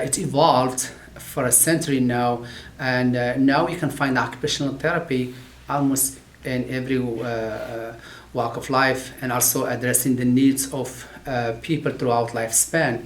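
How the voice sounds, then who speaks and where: A middle-aged man who is not a native English speaker, explaining occupational therapy in an interview, halting with many fillers. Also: medium pitch, reverberant. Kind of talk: interview talk about his field.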